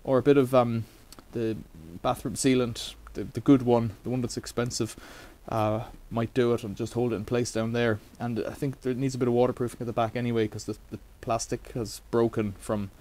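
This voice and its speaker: A man's voice: medium pitch, level tone, a little fast, hardly any pauses, darkish tone. A middle-aged man casually demonstrating a bike repair in a cluttered workshop, rambling with wry asides.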